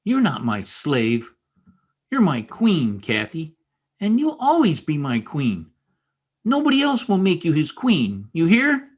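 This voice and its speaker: A rough, husky voice of an actor playing a brooding young male outcast. The delivery swings widely in pitch, intense and wounded in the old-time radio drama manner. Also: huge pitch swings, slow, heavily acted. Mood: fervent devotion.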